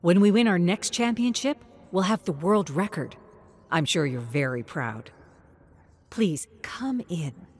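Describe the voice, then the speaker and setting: A woman's voice: on the low side, lively intonation, measured pace, voice pushed up. A woman voicing a friendly young woman in a dramatic story, cheerful, polite and eager. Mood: warmly admiring. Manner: cheerful, courteous.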